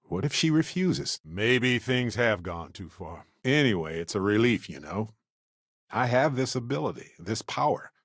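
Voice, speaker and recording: An actor playing an easygoing, good-hearted male space explorer in a video game, with a wry, chatty and playfully expressive delivery. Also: lowish voice, measured pace, no echo, studio quality, full character voice. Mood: earnest, reflective. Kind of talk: video game character dialogue.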